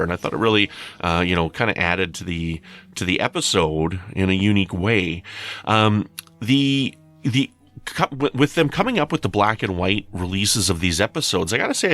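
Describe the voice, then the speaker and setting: A man's voice: lowish voice, a bit bright. A man giving casual listener feedback, conversational and a little hesitant as he thinks aloud. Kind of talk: casual spoken review.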